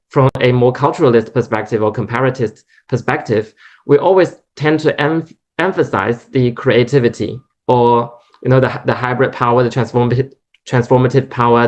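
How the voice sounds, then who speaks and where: A man whose first language is Chinese, asking a long, hesitant academic question at a lecture, full of restarts. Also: mid-range voice. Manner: hesitant, many restarts and fillers. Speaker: audience member asking questions. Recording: dull lo-fi recording.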